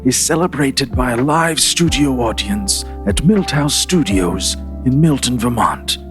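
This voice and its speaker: Expressive male storyteller, lively swooping pitch. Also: measured pace, over music. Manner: expressive, theatrical reading. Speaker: storyteller and show host. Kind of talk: dramatic scripted storytelling.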